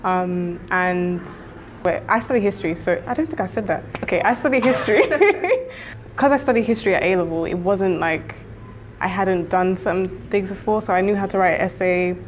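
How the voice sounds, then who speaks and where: A woman in her early twenties giving relaxed, matter-of-fact interview answers. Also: medium pitch, moderate pace, slight background noise. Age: 20s. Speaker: student interviewee.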